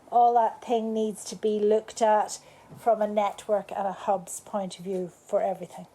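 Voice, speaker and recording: A woman's voice: mid-range voice, darkish tone. A woman with an Irish accent, not from the local area, talking casually to a live group at a bar event, rambling with many restarts and fillers. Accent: Irish, not from Cork. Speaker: salon speaker.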